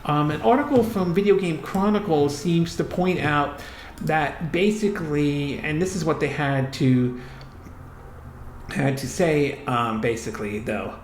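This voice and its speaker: A man's voice: a little high, a little slow, slight background noise. A man hosting a solo gaming news commentary, rambling with lots of fillers, restarts and trailing "and all". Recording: echoey room.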